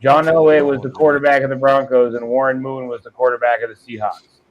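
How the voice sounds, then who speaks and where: A man's voice: medium pitch, level tone, brisk, muffled recording. A man chatting casually on a livestream, explaining things at length with some restarts. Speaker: livestream participant. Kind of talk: casual chat.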